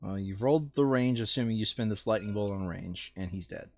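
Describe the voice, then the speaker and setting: A man's voice: lowish voice, a little fast, no room sound, highs cut off. A man playing a board game, talking casually as he reads out rules and tallies. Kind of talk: casual tabletop game chat.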